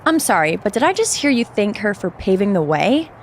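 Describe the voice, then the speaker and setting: A woman's voice: mid-range voice, big pitch swings, fairly quick, slight background noise. A woman voicing a cocky, mocking female wrestler villain in a video game, taunting and boastful. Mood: sneering, taunting. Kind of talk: video game cutscene acting, trash talk.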